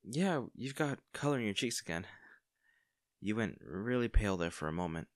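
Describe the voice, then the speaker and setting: A friendly young barista, acted by a man for an audio roleplay, with a fairly low voice. He speaks casually and attentively, a little hesitant, as if to one favorite customer. The sound is dry, with no room around it. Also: lively intonation, moderate pace, no echo, close to an everyday voice. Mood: concerned, gentle. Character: friendly, caring young male barista, teasing and easily flustered. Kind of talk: intimate audio roleplay acting.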